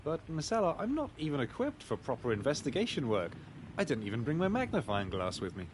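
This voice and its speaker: A man's voice: a little high, sing-song, fast, background noise. A man voicing a polite, bumbling, mildly pompous pig detective in a video game, musing hesitantly. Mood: earnest, polite. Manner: hesitant, ponderous, courteous. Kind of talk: video game character acting. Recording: loud music behind the voice.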